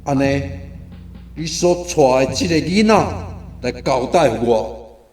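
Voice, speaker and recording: A man's voice: mid-range voice, lively intonation, slow, darkish tone. Domineering, echoing Taiwanese king. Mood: commanding. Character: domineering male king of a dark worldly realm. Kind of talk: Taiwanese radio drama acting. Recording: echoey studio with music behind.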